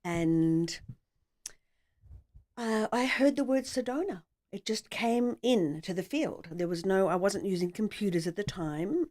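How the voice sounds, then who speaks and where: A woman's voice: medium pitch, lively intonation, unhurried, darkish tone. A middle-aged woman with an Australian accent, telling her story casually and in a rambling way as a podcast guest.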